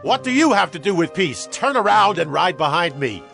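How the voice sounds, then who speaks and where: Fierce, commanding military usurper male actor, slightly high register, declamatory scripture drama, soft score underneath. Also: big pitch swings, moderate pace, gravelly, faint music behind, heavily acted. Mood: scornful. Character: fierce male army commander seizing a kingdom.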